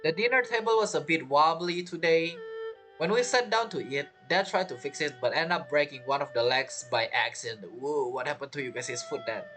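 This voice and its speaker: A man giving casual game commentary and reading text aloud in a halting way, reacting as he goes. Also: a little high, bright, forceful tone, faint music behind. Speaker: gaming streamer.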